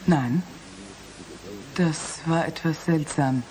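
Hesitant, dutiful secretary. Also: female voice, sits low, very slow, dark tone, poor audio. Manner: hesitant, polite. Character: flustered, dutiful female secretary to a professor. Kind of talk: German science fiction radio drama acting.

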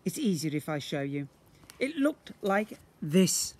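A man in his fifties reading a story to young children in an expressive way, playing up the sound words, recorded outdoors at arm's length. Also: fairly high pitch, a little slow, no room sound. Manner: expressive, acting out sound effects. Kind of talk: animated read-aloud storytelling for young children. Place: outdoors, beside brick houses. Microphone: phone or camera at about arm's length.

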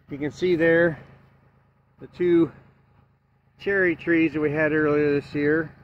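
A man giving a plain-spoken, unhurried walk-through of his farm garden outdoors, filmed on a handheld camera as he walks. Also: on the high side, highs cut off, slight background noise. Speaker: homestead vlogger. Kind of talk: casual walk-through narration. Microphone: handheld camera carried while walking.

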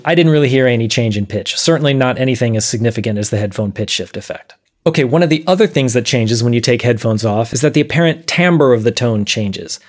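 A man in his thirties presenting an explanatory video lesson in a measured, methodical way. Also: mid-range voice. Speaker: presenter of an audio science series. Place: small dim room, indoors.

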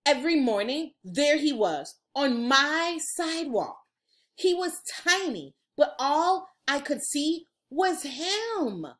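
A middle-aged woman reading a children's storybook aloud like a teacher, with dramatic, drawn-out emphasis. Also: sits high, very animated, a bit bright. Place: room at home. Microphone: video call, computer at desk distance.

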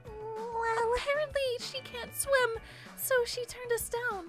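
A woman's voice: very high voice, fairly even intonation, slow pace. A woman voicing a timid, apologetic teenage girl from a visual novel, hesitant and nervous. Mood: meek. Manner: hesitant, stammering. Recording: game music behind.

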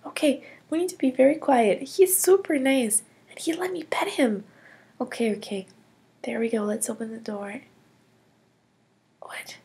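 A curious, cheerful girl, voiced by a woman narrates her own toy play adventure in a high, unhurried voice. She gasps often and drops into hushed whispers of wonder. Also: creaky. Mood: insistent.

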